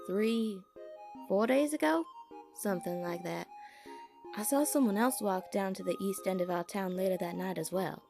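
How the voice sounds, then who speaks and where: Woman as motherly monster townswoman, audio drama, worried, hesitant, medium pitch, background music. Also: normal speed, darkish tone, close to an everyday voice. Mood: unsure recalling.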